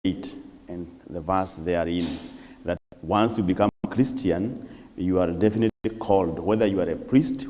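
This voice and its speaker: A man with a Kenyan accent, English his third language, speaking as a visiting priest to a congregation in a measured, deliberate way with pauses. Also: sits low, a little mellow. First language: not English; English is his third language. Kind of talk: church talk to a congregation. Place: church hall. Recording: long church reverb.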